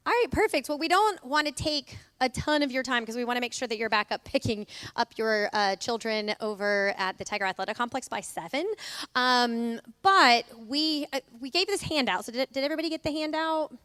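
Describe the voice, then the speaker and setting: Loud, chatty middle-aged woman. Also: fairly high pitch, fairly quick, clear, resonant tone, no echo. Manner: chatty, many fillers and asides. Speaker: school administrator. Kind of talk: casual welcome talk to parents. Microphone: microphone.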